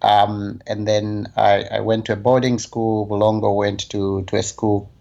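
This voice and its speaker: A man from Zambia with a lowish voice speaks in a relaxed, reflective way, pausing often and filling gaps as he recalls his past. The sound is a bit muffled, like a remote call recording. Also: vocal fry. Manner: thoughtful, hesitant with many fillers. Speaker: guest, health advocate. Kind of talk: relaxed conversational interview.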